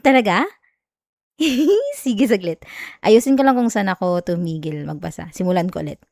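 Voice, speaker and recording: A woman with a Filipino accent playing a sweet, clingy young wife in an intimate ASMR roleplay, speaking softly and affectionately close to the mic. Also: medium pitch, expressive, normal speed, vocal fry, no room sound. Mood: playful, coaxing. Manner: soft, giggly, affectionate, coaxing. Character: sweet, clingy, teasing young wife soothing her partner. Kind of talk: intimate ASMR roleplay, bedtime chat and story reading. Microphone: close microphone, dry sound.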